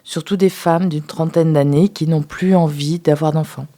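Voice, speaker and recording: A woman who is a sex therapist, a guest on a radio talk show, speaking in a measured, thoughtful way with some hesitation. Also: sits low, steady tone, warm tone. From France.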